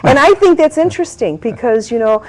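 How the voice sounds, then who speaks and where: A woman's voice: sits high, lively intonation, fairly quick. Chatty woman telling a story. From Italy. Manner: chatty, storytelling with frequent fillers. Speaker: guest. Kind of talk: casual talk show conversation.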